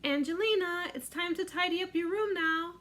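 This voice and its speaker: A woman's voice: very high-pitched, moderate pace, a bit bright, voice pushed up. A woman voicing an exasperated but loving mouse mother in a storybook, calling out in a raised, pleading, scolding voice.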